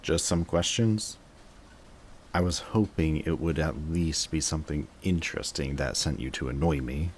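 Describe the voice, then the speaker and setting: A jaded supervillain, acted by a man, speaks in a deep, low voice. He needles his old rival with dry sarcasm and weary taunts, in the manner of a roleplay audio drama. Faint noise sits behind the voice. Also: normal speed. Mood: annoyed, sarcastic.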